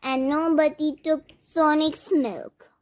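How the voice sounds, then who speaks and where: A woman's voice: sits high, steady tone, darkish tone. A woman narrating a moral story for children while playing it out with plush toys. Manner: slow, sing-song storybook reading. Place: indoors, at a bed with plush toys.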